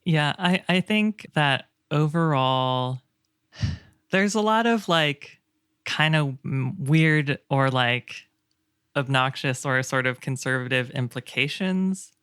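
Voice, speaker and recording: Smooth-voiced man, halting and thoughtful. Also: a little high, animated, fairly bright tone, dry recording, studio quality. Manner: hesitant, halting, thoughtful phrasing. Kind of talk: casual discussion.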